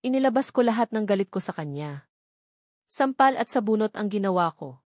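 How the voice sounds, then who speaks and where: A woman's voice: mid-range voice, animated, fairly quick, darkish tone, no room sound. Woman narrating a romance novel, close-up sound. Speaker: audiobook narrator. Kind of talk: dramatic first-person romance novel narration.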